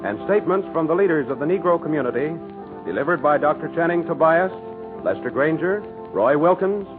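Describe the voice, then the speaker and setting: A tinny 1940s radio recording carries an American man's formal announcing. His voice sits a little high and moves with wide, declamatory swings of pitch. Every phrase is measured and carefully scripted. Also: animated, music in the background.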